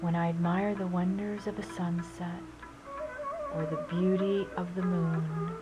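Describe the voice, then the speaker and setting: A woman reads scripted inspirational sayings as a narrator. Her voice sits a little low and she moves at a very slow, deliberate pace with a solemn delivery. Prominent Indian classical flute music plays behind her. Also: flat delivery, lo-fi sound. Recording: loud music under the voice.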